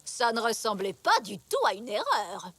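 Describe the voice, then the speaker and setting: A woman voicing a firm, no-nonsense zebra mother in a children's cartoon, brisk and reproving. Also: on the high side, sing-song, fairly bright tone, no room sound. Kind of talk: French children's cartoon dubbing.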